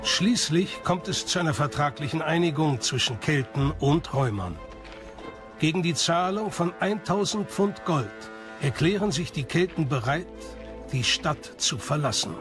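A male documentary narrator with a fairly high voice reads a prepared script. Music plays clearly behind him. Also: expressive, unhurried. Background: background music.

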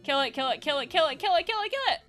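A woman plays an overprotective cartoon guard wolf from a video game, all anxiety. The voice is high and swoops widely, urgent and dramatic, with music behind it. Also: expressive, very fast, a bit bright. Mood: frantic. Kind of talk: reading visual novel dialogue aloud.

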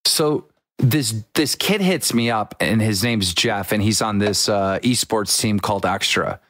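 A young man hosting a casual podcast, an excitable storyteller who acts out what people said in quick bursts. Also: fairly low pitch, no echo, clean studio sound. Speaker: podcast host.

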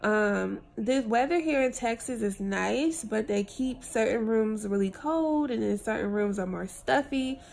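Young woman vlogging from hotel bed, all stuffed up, tired and gravelly, phone at arm's length. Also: on the high side, a little slow, few pauses, darkish tone. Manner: tired, laid-back, chatty. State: congested, unwell. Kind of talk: casual vlog to camera. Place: hotel room, lying in bed. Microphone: phone held at arm's length.

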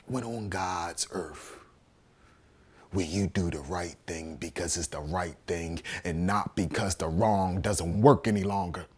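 A man acts out a condemned rebel in a theatrical monologue, bitter and righteous. He speaks as an orator accusing his judges, moving between hushed menace and thundering force. The delivery is defiant throughout. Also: medium pitch, animated, a little slow. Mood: grave, accusing. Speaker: actor performing a stage monologue.

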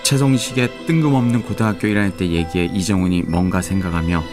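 A man narrating a radio drama in a steady, descriptive way. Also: low-pitched, fast. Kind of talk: scripted narration. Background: background music.

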